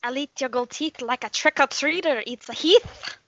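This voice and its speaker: A man's voice: very high-pitched, moderate pace, fairly bright tone, dry recording, dull audio, pitched above the performer's own voice. A man voicing a crude, greedy leprechaun who talks in cocky sing-song rhymes for a comedy story. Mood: menacing. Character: crude, greedy, rhyming leprechaun hungry for gold.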